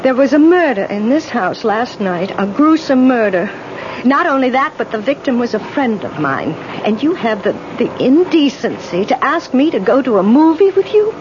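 An actress playing an anxious, suspicious boarding house matron in a 1970s radio drama, speaking emphatically. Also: female voice, on the high side, very animated, normal speed, noisy background. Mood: cold, indignant. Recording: dull vintage radio broadcast.

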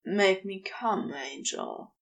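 A woman's voice: medium pitch, animated, slow pace, gravelly, voice pushed down. A woman reading the part of an adoring, commanding male lover in prose fiction, earnest and intimate. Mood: breathless, urging.

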